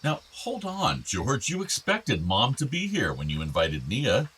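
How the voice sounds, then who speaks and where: A man's voice: mid-range voice, wildly swooping pitch, measured pace, fairly bright tone, husky, full character voice. A man acting a meek, regretful older father in a naturalistic radio play, apologetic and hesitant. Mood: uneasy, gentle. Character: regretful, meek father of two grown sons.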